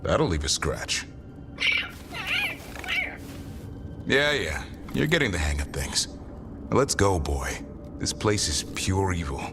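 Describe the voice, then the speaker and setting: An actor playing a stern, protective monster-hunting warrior in a fantasy video game, speaking firmly and with command. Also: male voice, sits low, animated, measured pace. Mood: grudgingly fond. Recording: music behind the voice.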